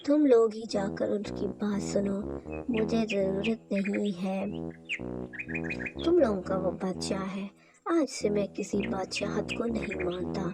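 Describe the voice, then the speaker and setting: A woman voicing a proud male camel in a children's moral cartoon, with a dramatic, drawn-out delivery. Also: mid-range voice, natural voice. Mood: smug, boastful. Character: proud, selfish, lazy male camel, later humbled. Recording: loud music and birdsong behind.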